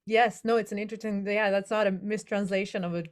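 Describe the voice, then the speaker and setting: A woman's voice: medium pitch, steady tone, fast talker. A young adult woman author being interviewed, talking casually and thoughtfully with many fillers and restarts. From Netherlands. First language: English as first language, also Hebrew and Dutch.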